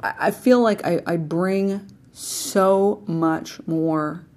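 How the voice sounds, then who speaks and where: A woman's voice: lowish voice, fairly even intonation, drawn-out words, a little mellow. A woman speaking thoughtfully and articulately about her life and work. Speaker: interviewee.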